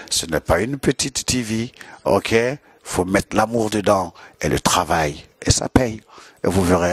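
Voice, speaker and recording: A man delivers an endorsement message in a deep voice with a husky grain. He is emphatic and repeats his key phrases for weight. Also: no room sound. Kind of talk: spoken shout-out message.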